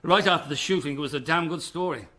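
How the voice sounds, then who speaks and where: A man's voice: fairly high pitch, fast talker, pushed and bright. An actor playing a self-important but easily frightened male small-town newspaper editor, theatrical and flustered, in a radio drama. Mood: sheepish.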